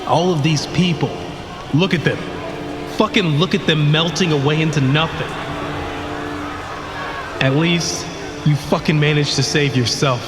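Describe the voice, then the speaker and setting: A man's voice: on the high side, unhurried, long pauses, lightly acted. A man acting an urgent, bitter, confrontational colleague in a sci-fi audio drama. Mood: bitter, accusing. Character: bitter, accusing male colleague in a sci-fi disaster. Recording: long echoing reverb under music.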